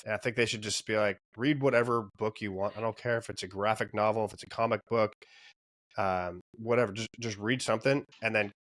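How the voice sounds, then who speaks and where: A man's voice: lowish voice, steady tone, brisk, a bit bright, no room sound. A man hosting a podcast in casual conversation, informal and opinionated. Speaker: podcast host. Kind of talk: casual chat.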